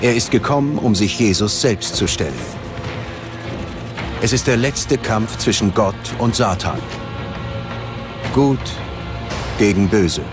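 A man's voice: on the low side, slow, pauses often. A man narrating a scripted documentary in a dramatic, ominous manner. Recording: loud music behind the voice. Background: music.

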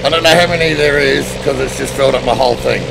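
A middle-aged Australian man with a hoarse, tiring voice, live-streaming a game and excitedly thanking chat viewers over a headset mic. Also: mid-range voice, fast, noisy background. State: hoarse, losing his voice. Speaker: livestreamer. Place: indoor room at a desk.

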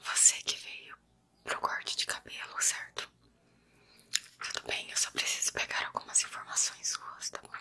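A woman in her twenties with a Brazilian Portuguese accent, whispering softly and gently in an ASMR roleplay as a hairdresser talking to a client. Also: rumbling low, completely flat delivery, moderate pace, creaky, breathy edge. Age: 20s. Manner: soft, gentle, addressing the listener as a client. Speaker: ASMR creator playing a hairdresser. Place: small room at home.

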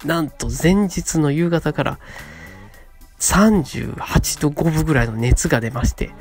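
A man's voice: a little high, a little slow. Young man chatting over background music. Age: around 30. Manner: polite, conversational, acts out short quotes. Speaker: radio co-host. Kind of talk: casual monologue, storytelling and pitching ideas.

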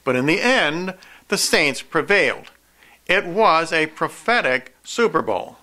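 An older man delivering an earnest religious monologue to camera in a deliberate, emphatic, didactic way. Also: fairly high pitch, big pitch swings, bright, forceful tone. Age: older, 60s-70s. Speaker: video preacher, host of a prophecy program.

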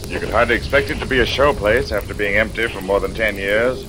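An actor playing a gray-haired, polite and formal psychic researcher in a 1940s radio mystery. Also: male voice, fairly low pitch, steady tone, fast, close to an everyday voice. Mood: businesslike. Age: older. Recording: noisy vintage 1940s radio recording.

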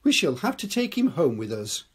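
Grandad doing a brisk, proper mother. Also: on the high side, huge pitch swings, slightly rough, voice pushed up, heavily acted.

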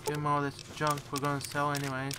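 A man's voice: fairly high pitch, completely flat delivery, fast, noisy background. A man giving casual, off-the-cuff video game commentary, muttering to himself in short fragments. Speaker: gamer, let's player.

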